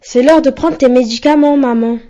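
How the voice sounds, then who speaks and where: Cartoon boy, played by a woman: dutiful son, gentle, formal and courteous, highish mellow voice. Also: normal speed, close to an everyday voice. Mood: devoted, gentle. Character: devoted, gentle, courteous young son and friend. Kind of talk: didactic cartoon dialogue.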